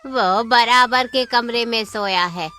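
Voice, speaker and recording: Slow, bright-voiced sly old woman, acted by a woman. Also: fairly high pitch, soft background music. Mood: sly, scheming. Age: older. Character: cunning old village woman, mother of two swindlers. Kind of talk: Hindi folk tale voice acting.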